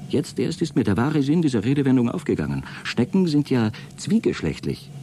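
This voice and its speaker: An actor playing an aggrieved, suspicious space traveller dictating a pompous, wordy log in a radio drama. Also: male voice, mid-range voice, big pitch swings, fairly quick, warm tone, slight background noise. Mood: defiant, indignant. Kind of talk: radio drama monologue, intimate log entry.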